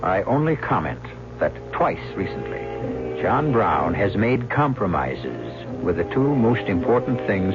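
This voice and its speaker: Dull old radio sound with music clearly underneath carries a grave male storyteller of doom. His delivery is measured and portentous, swinging widely in pitch for dramatic weight. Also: exaggerated voice. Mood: ominous. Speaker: host and narrator.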